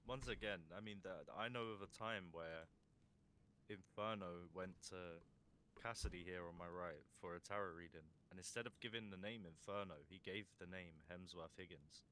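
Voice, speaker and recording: A man in a live roleplay playing a scholarly reader of grim lore, measured and portentous when reading. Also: sharp tone. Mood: questioning. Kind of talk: in-character roleplay, dramatic scroll reading. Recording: background rumble and noise.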